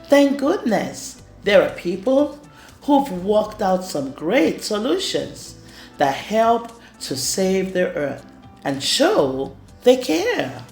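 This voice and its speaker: A woman reading a rhyming children's storybook aloud in an expressive way. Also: medium pitch. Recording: roomy echo with music underneath. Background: music.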